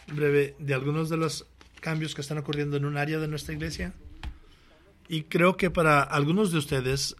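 An earnest pastor calls his congregation to action and then moves into prayer. His voice sits a little higher than most men's. He often breaks off and restarts his phrases. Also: fairly bright tone. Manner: earnest, exhorting, with frequent restarts. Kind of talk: sermon with closing prayer.

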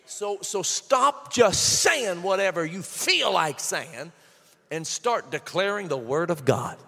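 High-voiced pastor preaching in a reverberant church. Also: male voice, expressive, takes it slowly, vocal fry, husky. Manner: swings from quiet storytelling to shouted praise. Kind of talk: sermon.

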